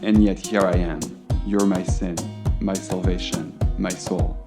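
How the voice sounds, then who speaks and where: Auteur, really low voice, flowery romantic love letter stuff, guitar music behind him. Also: male voice, flat delivery, unhurried, vocal fry. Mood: impassioned devotion. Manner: ornate, reverent, literary delivery. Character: French film auteur, ardent, florid romantic letter writer. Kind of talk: audio drama, letter read as voice-over.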